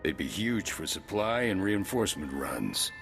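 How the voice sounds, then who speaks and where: A man playing a gruff, blunt soldier in a video game, talking in slang. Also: low voice, big pitch swings, normal speed, over music, exaggerated voice. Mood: wry. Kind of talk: video game cutscene acting.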